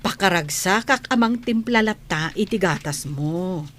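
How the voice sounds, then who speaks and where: A caring nanny from a radio drama, acted by a woman, with an Ilocano accent. Her voice sits on the low side, and she speaks fondly and gently, as someone devoted to the one she looks after. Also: expressive, measured pace, a little hiss or hum.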